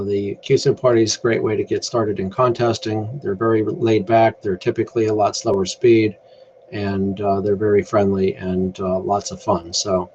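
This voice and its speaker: A man's voice: on the low side, a little mellow, muffled recording, a little hiss or hum. A man presenting a casual screen-share tutorial in a laid-back, explanatory way. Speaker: amateur radio tutorial presenter.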